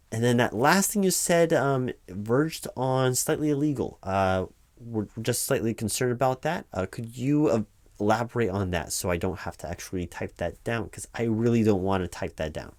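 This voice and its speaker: A man's voice: medium pitch, expressive, normal speed, lightly acted. A man playing a flustered, polite corporate hiring manager in a comedic interview roleplay, stammering and hesitating as he goes, with keyboard typing. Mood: uneasy, polite. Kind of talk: one-sided comedic job interview audio roleplay. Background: computer keyboard typing, tapping.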